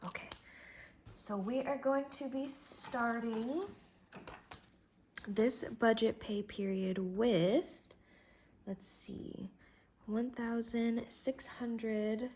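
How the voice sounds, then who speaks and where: A woman with a slightly congested voice from a cold talks casually through her budget as she writes. She goes slowly and thinks aloud over each number, in a friendly, chatty way. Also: mid-range voice, slight background noise. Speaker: vlogger doing her budget. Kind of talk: casual talk-through while writing.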